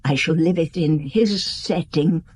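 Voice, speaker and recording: Radio drama casting: old aristocratic lady, unhurried, husky, dignified, frank yet wistful. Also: deep voice, a little mellow. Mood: resolute, wistful. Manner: formal, composed, unhurried, frank. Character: gracious, dignified, wistful elderly female aristocrat.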